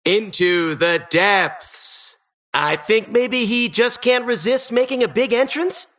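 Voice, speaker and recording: An actress playing a gleefully sinister, disembodied dungeon host in a video game, mock-cheerful, teasing and theatrical. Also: female voice, fairly high pitch, takes it slowly, a bit bright. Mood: playful, taunting. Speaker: game announcer.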